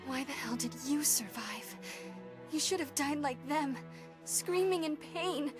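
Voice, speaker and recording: A young game heroine, tender and wounded. Her voice sits high and she speaks slowly, with music clearly playing behind her. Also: animated. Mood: anguished. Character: tender, anguished young female companion. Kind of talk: dramatic video game voice acting.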